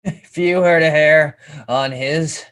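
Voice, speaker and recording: A man's voice: a little high, steady tone, slow pace, a bit bright. An actor playing a desperate, furious father in a screenplay table read, overwrought and pleading. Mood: frantic, furious. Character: desperate, furious father fighting for his son.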